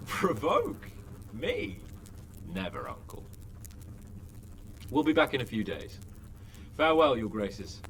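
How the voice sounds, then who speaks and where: An actor playing a cocky young lord in a game, breezy and eager to be off. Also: male voice, mid-range voice, big pitch swings, takes it slowly, pauses often, a bit bright, slight background noise, heavily acted. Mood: mock-innocent, breezy. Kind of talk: video game cutscene acting. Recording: distant from mic, faint ambience.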